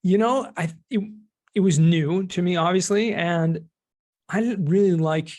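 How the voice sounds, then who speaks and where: A man's voice: high voice, a little slow, darkish tone. A middle-aged man with an American accent, explaining things in plain words as a guest in a conversational interview. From California, United States. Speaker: podcast guest, cost segregation expert and former teacher.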